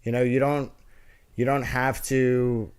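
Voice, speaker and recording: A man's voice: mid-range voice, little pitch movement, slow, a little mellow, clear, resonant tone. Nasal man, earnest and rambling. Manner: rambling, earnest, many restarts. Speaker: podcast guest, artist. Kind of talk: casual interview chat.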